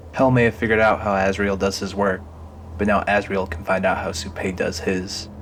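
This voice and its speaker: A man narrating a scripted audio drama. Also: on the low side.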